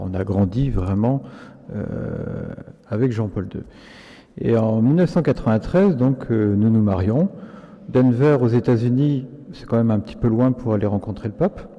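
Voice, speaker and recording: A man in his fifties speaks plainly and a little hesitantly to an audience. His voice is very dark and relaxed. The sound is dull and lo-fi, with a long hall reverb behind it. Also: mid-range voice. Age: 50s. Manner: plain, hesitant, with fillers and restarts. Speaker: speaker giving a testimony. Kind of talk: public personal testimony.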